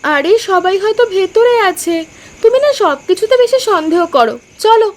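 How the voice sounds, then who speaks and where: A woman's voice: very high-pitched, expressive, measured pace. A woman voicing a greedy, scheming young wife who pushes her husband. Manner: coaxing, insistent. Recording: voice over music.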